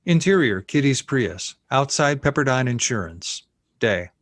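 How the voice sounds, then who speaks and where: A man's voice: medium pitch, sing-song, a little slow, a bit bright, no room sound, clean studio sound. A man reading scene headings and action lines as narrator of a screenplay table read. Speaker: narrator reading stage directions.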